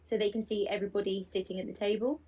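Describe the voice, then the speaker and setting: A woman's voice: mid-range voice, flat delivery, quick pace, mellow, slightly rough. A woman from platform support, giving the presenter helpful, matter-of-fact answers. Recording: distant, muffled audio.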